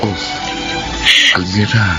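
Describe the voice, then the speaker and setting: Low, slow tender lover over music. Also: male voice, fairly even intonation. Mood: tender, apologetic. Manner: earnest, tender. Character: remorseful tender young male lover. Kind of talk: radio drama acting. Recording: loud music under the voice.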